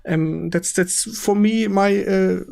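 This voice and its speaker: A man with a German accent hosting a casual livestream interview in halting non-native English, often repeating words and agreeing quickly. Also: high-pitched, creaky, darkish tone. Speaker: stream host interviewing a game developer. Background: music in the background.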